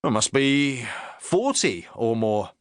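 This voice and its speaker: A patient, dry-witted sergeant answers his superior in brief, clipped words, as a male narrator voices him. The delivery is slow and restrained. The reading sounds close and dry. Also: mid-range voice, big pitch swings, clear, resonant tone, dry recording. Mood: terse.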